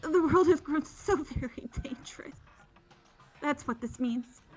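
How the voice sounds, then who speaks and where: A woman's voice: high voice, measured pace, faint music behind. A woman voicing a manic, cruel game villainess, theatrical and menacing, moving between coaxing and taunts. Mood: ominous coaxing.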